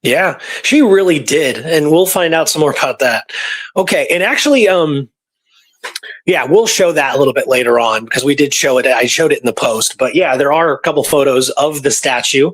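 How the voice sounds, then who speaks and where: A man with a somewhat high voice chats through historical research. He is informal and conversational, telling it like a story to a friend as a guest researcher. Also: animated, moderate pace, a bit bright. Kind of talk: casual conversational history discussion.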